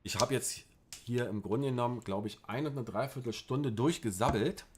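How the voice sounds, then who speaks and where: A man's voice: mid-range voice, normal speed, a little hiss or hum. A middle-aged man with a Berlin accent chatting casually on a livestream, rambling with many fillers and restarts. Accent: Berlin German. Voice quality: rambling, filler-heavy, colloquial. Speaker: livestream host.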